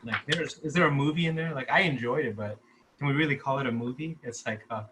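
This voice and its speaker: Bright-voiced man in casual discussion, some noise. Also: mid-range voice, quick pace. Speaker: filmmaker discussing his short script at a table read.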